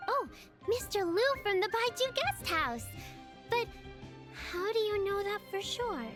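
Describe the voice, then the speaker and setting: A woman's voice: very high-pitched, expressive, unhurried, over music. A woman voicing a cheerful, sensible little girl in a video game, earnest and reassuring as she chats. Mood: curious. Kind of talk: animated video game character acting.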